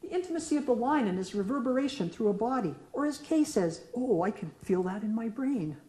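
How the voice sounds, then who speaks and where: A woman's voice: on the high side, expressive, a little fast, dark tone. A middle-aged woman poet reading her own work aloud to an audience, moving between a question-and-answer poem and casual asides between pieces. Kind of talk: poetry reading. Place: lecture room at a reading. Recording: echoey room.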